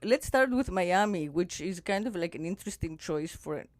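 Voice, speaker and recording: A woman hosting a podcast who talks in a reflective, musing way, her pitch moving up and down with plenty of life. Her voice is dry and close to the mic. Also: expressive, normal speed, dry recording. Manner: reflective, drifting softer as she muses. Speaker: podcast host. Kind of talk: casual interview conversation.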